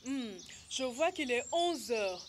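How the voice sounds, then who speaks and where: A woman voicing a thoughtful, careful little animal learner in an educational cartoon, speaking deliberately, with noisy birdsong ambience. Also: a little high, expressive.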